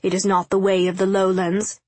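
A woman's voice: mid-range voice, monotone, fairly quick, darkish tone, no echo, lightly acted. A woman voicing a cruel, pompous male underworld lord in a storybook, with theatrical, mocking, formal speech. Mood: gloating menace.

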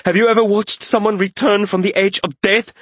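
A man's voice: high-pitched, fairly even intonation, normal speed, dark tone, breathy edge, no echo, pitched above the performer's own voice. A man voicing an earnest, self-doubting young mage in an audiobook, with an indignant, pleading manner. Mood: impassioned indignation. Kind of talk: audiobook character voice.

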